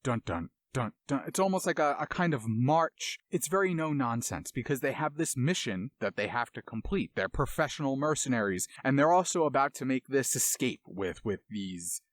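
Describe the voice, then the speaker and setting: Male video essayist, medium pitch, casual analysis, emphatic colloquial delivery, close dry recording. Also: animated, normal speed, few pauses, no echo. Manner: dramatic emphasis, colloquial asides.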